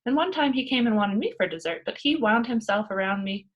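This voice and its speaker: A woman voicing a cheeky, cocksure young girl from a children's storybook, loud, emphatic and argumentative. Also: a little high, animated, brisk, highs cut off, lightly acted. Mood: boastful, excited. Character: cheeky, boisterous young girl, cocksure tall-tale teller. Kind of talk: children's storybook read-aloud.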